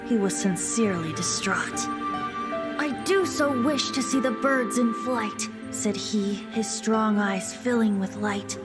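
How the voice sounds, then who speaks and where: A woman's voice: mid-range voice, takes it slowly, close to an everyday voice. Woman voicing a whimsical boyish bard over music. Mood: lyrical, dramatic. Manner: wistful, playful, lyrical storyteller. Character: boyish, whimsical male bard, ancient wind spirit in youthful form. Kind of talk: video game character acting, storytelling.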